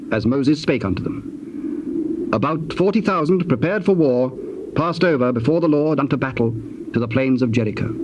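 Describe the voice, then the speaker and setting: A British man narrates a dramatized Bible with formal, deliberate phrasing. His mid-range voice is solemn. The old tape sounds muffled and has clear background noise. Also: medium pitch. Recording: dull, noisy old cassette tape.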